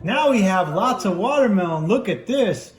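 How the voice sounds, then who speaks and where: Man, playful puppeteer for children, animated exclamations, improvised feel, roomy echoing sound. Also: high-pitched, moderate pace. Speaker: father puppeteering toy ponies for a kids' video.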